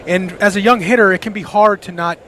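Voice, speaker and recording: A man's voice: high voice, steady tone, a little fast, a bit bright, slight background noise. A man giving conversational baseball analysis as a radio color commentator, rambling as he thinks aloud. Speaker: color commentator, former player.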